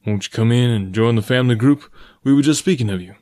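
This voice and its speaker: A man voicing an earnest, formal, pressing gentleman suitor of about forty in an audiobook story. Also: medium pitch, no echo, clean studio sound, natural voice. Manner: deliberate, formal, blunt.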